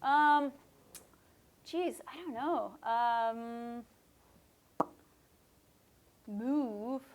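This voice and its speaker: A woman's voice: a little high, drawn-out words. A woman from Canada's West Coast, a musician answering interview questions in a thoughtful, chatty way with many fillers. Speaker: musician guest. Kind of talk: relaxed conversational interview answers.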